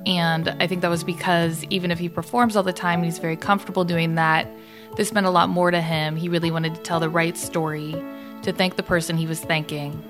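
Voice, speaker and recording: Flat-toned woman over music. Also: lowish voice, fairly quick. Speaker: volunteer co-host.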